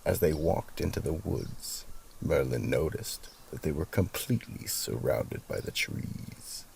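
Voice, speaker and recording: A man hosting and narrating scripted horror stories in a theatrical, ominous manner, with a very deep, gravelly, husky voice. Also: lively intonation, darkish tone, slight background noise. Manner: slow, ominous, dramatic. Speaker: narrator and host.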